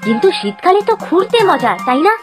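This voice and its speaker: A woman's voice: high voice, big pitch swings, fairly quick, over music. A woman playing a whiny, picky young boy in a children's cartoon, wheedling and sulky. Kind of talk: Bengali children's cartoon acting.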